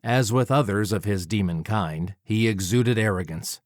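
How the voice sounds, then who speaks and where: A man narrating a first-person story as an audiobook reader, dramatic, measured and deliberate, close to the microphone. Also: on the low side, no room sound.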